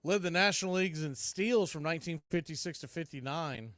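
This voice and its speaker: A man's voice: on the high side, a little fast, a bit bright, no room sound, clean studio sound. A man calling live baseball play-by-play and mixing in trivia, with clipped calls that trail off at phrase ends.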